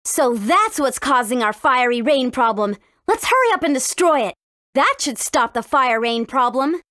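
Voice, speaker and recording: A woman with an American accent playing a spirited, earnest teenage warrior princess in an English video game, with expressive, emphatic delivery. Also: sits high, moderate pace, no echo, clean studio sound. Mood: earnest, explaining.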